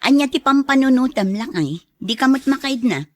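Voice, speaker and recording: A woman's voice: sits high, animated, moderate pace, dry recording. A woman playing a greedy, persuasive wife who eggs on her husband in a radio drama. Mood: goading. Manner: insistent, persuasive.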